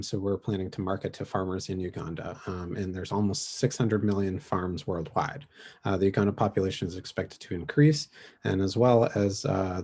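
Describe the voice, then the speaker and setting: A man gives a team pitch presentation with halting, hesitant phrasing. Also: a little mellow, husky. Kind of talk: semi-rehearsed pitch presentation.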